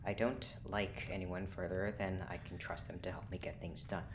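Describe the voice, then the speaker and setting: An actor playing a cold, formal, work-obsessed lead scientist in an audio drama, with curt, precise, deadpan delivery. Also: low voice, moderate pace, lightly acted. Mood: cool, formal. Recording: clear background noise.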